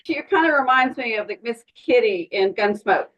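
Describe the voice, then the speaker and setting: A woman's voice: fairly high pitch, animated, moderate pace, fairly bright tone, muffled recording. A woman taking part in a casual workshop discussion, talking in a rambling way and circling back to restate her thoughts. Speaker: workshop participant.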